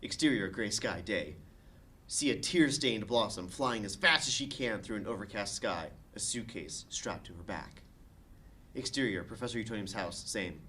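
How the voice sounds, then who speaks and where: Man, lowish voice, highly varied intonation, reading script directions, comedic, faint room noise. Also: lively intonation, normal speed, bright, forceful tone. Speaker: narrator. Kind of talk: reading screenplay stage directions.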